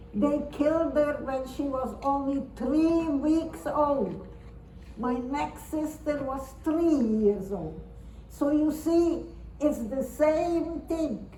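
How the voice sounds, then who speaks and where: A woman in her nineties from Romania, speaking in an earnest, halting and repetitive way as she gives testimony to an audience. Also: sits high, mellow, slight background noise. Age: 90s. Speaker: Holocaust survivor giving testimony. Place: synagogue or community hall. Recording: roomy hall recording from far off.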